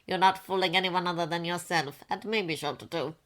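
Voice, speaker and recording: A woman's voice: fairly low pitch, steady tone, a little fast, fairly bright tone, lightly acted. A woman voicing a wry, thoughtful male mentor who murmurs and teases in a story. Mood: wry teasing.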